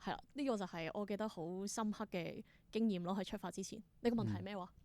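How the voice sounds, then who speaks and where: A woman's voice: mid-range voice, fast talker, warm tone. A woman with a Hong Kong Cantonese accent answering as a theatre performer in an interview, explaining things conversationally and dropping in English terms.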